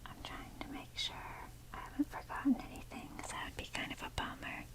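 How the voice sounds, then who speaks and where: A woman whispering softly and breathily in a casual, chatty, self-deprecating ASMR ramble, with the odd mild swear. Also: a little fast. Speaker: ASMR video creator.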